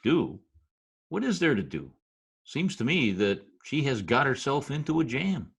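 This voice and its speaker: A man's voice: medium pitch, very animated, measured pace, gravelly, husky, exaggerated voice. A man playing a wry, skeptical Broadway wise guy in a 1940s-style radio drama, wisecracking in stiffly formal slang. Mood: skeptical, sardonic. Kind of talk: old-time radio drama, present-tense slangy narration and dialogue. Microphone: video call recording.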